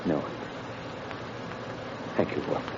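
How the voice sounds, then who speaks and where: A man playing a weary, despairing condemned prisoner in a 1930s radio drama, halting and resigned, on a muffled old recording. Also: noisy background, natural voice.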